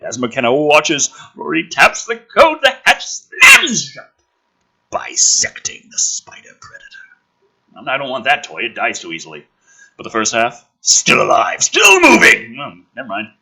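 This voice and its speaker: A man's voice: mid-range voice, gravelly, sharp tone, slightly rough, rough recording. A man reading a script dramatically and breaking off into sarcastic, exasperated, sweary commentary as the host.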